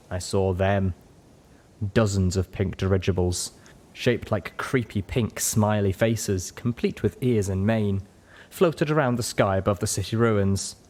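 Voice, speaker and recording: A man's voice: low-pitched, darkish tone. A man reading a scripted first-person adventure story as an audiobook narrator. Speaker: audiobook reader.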